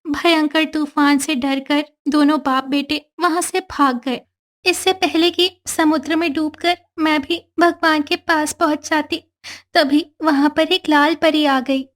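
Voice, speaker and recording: A woman voicing a gentle, sorrowful young mermaid girl telling her own story in a cartoon. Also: high-pitched, steady tone, a little slow. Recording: faint background music.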